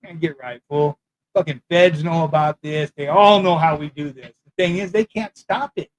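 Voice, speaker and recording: Loud, blunt ex-con man, profane. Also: a little high, normal speed, a little hiss or hum, low-quality recording. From California. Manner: blunt, profane and boastful street talk; rambling, loses his thread. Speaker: former prisoner sharing prison know-how. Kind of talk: casual monologue to camera. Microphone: talking into a mic.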